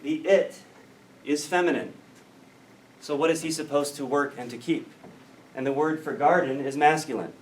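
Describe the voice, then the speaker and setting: A man with an American accent gives a scholarly lecture in a measured, explanatory way, with a dry edge. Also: a little high. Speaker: academic lecturer in biblical studies. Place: lecture room. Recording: roomy, distant mic with faint noise.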